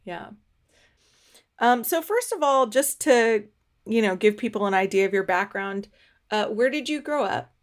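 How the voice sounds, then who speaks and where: A woman's voice: a little high, lively intonation, measured pace, long pauses. Woman host, easygoing, laughs a lot, video call audio. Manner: chatty, laughs often. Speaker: podcast host. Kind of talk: casual interview over video call.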